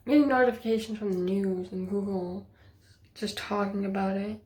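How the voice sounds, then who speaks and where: A woman's voice: mid-range voice, steady tone, normal speed, darkish tone, slightly rough. A woman talking in a casual video diary, hesitant and rambling with many fillers, sometimes dropping to near-whispers. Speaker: vlogger.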